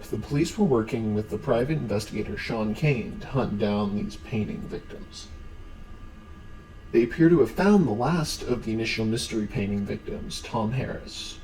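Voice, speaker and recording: A man's voice: lowish voice, moderate pace, a little mellow, faint music behind, poor audio. A man narrating an explainer about a horror series in a scripted recap style. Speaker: video narrator.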